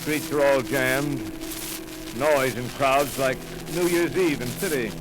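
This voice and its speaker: An actor playing a male radio announcer on a rooftop, reporting as an eyewitness in halting, breathless bursts in 1930s radio drama style. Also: mid-range voice, background noise, close to an everyday voice. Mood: grave. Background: warning bells ringing.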